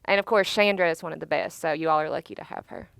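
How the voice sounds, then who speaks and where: A woman's voice: low voice, quick pace, vocal fry, a bit bright, slight background noise. A woman, talking on a panel about her broadcasting career in an upbeat, conversational way. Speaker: alumni panelist, sports broadcaster. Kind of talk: panel talk.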